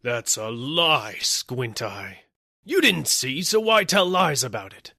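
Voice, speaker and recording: An indignant working man acted by a male narrator pleads his case in a storybook. His voice is pushed high and swings widely as he whines and wheedles. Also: big pitch swings, slow pace, sharp tone, dry recording, studio quality, full character voice. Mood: indignant, wheedling. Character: aggrieved, whining male workman seeking damages. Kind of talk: audiobook character acting.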